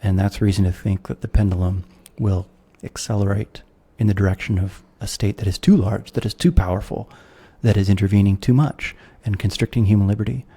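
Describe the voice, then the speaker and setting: Mellow-voiced American man explaining ideas. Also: on the low side, fairly even intonation, brisk, few pauses, slightly rough. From United States. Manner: thoughtful, professorial, with wry laughs. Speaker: guest philosopher. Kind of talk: conversational interview.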